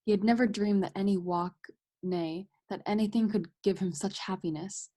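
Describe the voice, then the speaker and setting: A woman's voice: mid-range voice, steady tone, normal speed, warm tone, lightly acted. A woman giving scripted storybook narration as the narrator of a radio play.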